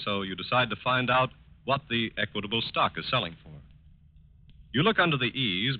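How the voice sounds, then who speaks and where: A male announcer from forties radio speaks formally and emphatically, with big swings in intonation. His mid-pitched voice is slightly muffled by the old recording. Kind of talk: 1940s radio sponsor announcement. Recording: dull vintage radio recording.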